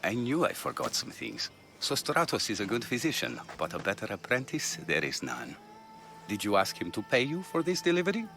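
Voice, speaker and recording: An actor playing a dedicated, brisk ancient Greek physician in a video game, earnest and emphatic in the way he talks. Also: medium pitch, very animated, creaky, pushed and bright, slight background noise, heavily acted. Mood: wry, brisk.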